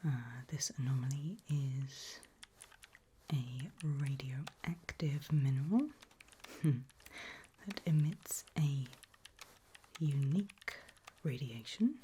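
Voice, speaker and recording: A woman doing a whispered ASMR roleplay as a kindly, curious scientist, speaking gently and politely in a breathy whisper. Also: a bass voice, takes it slowly, a little hiss or hum. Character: kindly, curious research scientist interviewing an anomaly.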